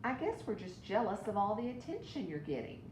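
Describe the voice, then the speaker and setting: A woman's voice: sing-song, brisk, a little mellow, lightly acted. A woman voicing a friendly but easily jealous young leaf in a children's storybook, with a simple childlike manner. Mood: apologetic. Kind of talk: children's storybook read aloud. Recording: echoey room with background rumble.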